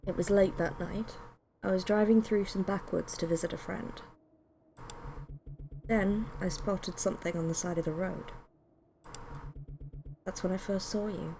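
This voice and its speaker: A woman's voice: mid-range voice, steady tone, unhurried, long pauses, noisy background. A British woman streaming a game, speaking casually and informally as a live-stream host. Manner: chatty, informal. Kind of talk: casual live-stream chat with read-aloud story narration.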